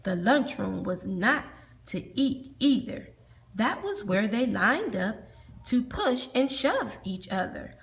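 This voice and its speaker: A woman narrates a children's storybook like a teacher reading to a class. Her voice sits a little high and moves through wide swings of pitch. She takes the sentences slowly. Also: very animated, vocal fry, a little hiss or hum.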